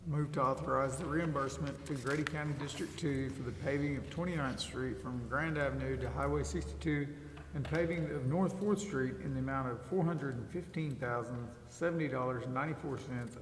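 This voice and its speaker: A man's voice: medium pitch, brisk. A man serving as a council member, speaking in a public meeting. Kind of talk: council meeting questions and motions. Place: indoor council chamber. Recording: echoey chamber, distant mic, faint noise.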